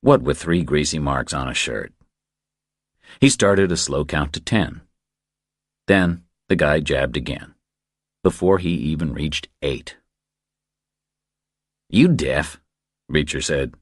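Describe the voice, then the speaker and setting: Extremely deep-voiced male narrator. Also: animated, measured pace, long pauses, no room sound, studio quality. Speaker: audiobook narrator. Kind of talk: scripted narration of a thriller novel.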